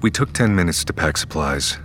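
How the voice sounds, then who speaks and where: An actor playing a haunted, sleepless survivor who narrates his story gravely and deliberately in an audio drama. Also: low voice, animated, a little hiss or hum. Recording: loud music under the voice.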